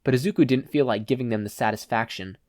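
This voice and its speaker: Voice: mid-range voice, fast talker, a little mellow, no echo, clean studio sound. A narrator reading fan fiction as a scripted audiobook.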